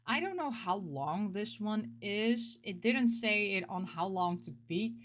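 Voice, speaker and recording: A woman hosting a casual video about games, talking in an expressive, rambling way and repeating words for emphasis. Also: mid-range voice, a little slow. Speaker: host of a game collecting show. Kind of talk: casual chat, showing game pick-ups.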